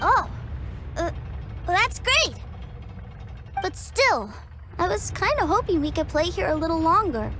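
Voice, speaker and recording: A woman voicing an eager, trusting young boy who adores his big brother and talks in an excited, childlike way. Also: very high-pitched, unhurried, pauses often, heavily acted. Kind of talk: video game cutscene voice acting. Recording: game cutscene with loud score.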